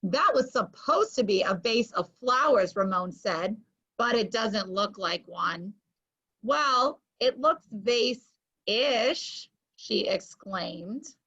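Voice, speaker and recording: A woman's voice: a little high, lively intonation, slow pace, sharp tone, husky. A woman hosting a children's story show, reading aloud and chatting with exclamations to young listeners. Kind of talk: children's read-aloud with chat. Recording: muffled lo-fi audio.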